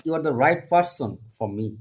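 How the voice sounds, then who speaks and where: A man's voice: on the high side, expressive, normal speed, a little hiss or hum. A man from Bangladesh, flirting in broken English on a casual video call. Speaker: vlogger chatting with strangers.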